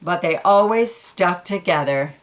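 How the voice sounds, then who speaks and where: A woman reading a children's picture book aloud, pausing for quiet asides about the pictures. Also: on the low side, lively intonation, rough recording. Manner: slow, gentle, pointing out pictures in quiet asides.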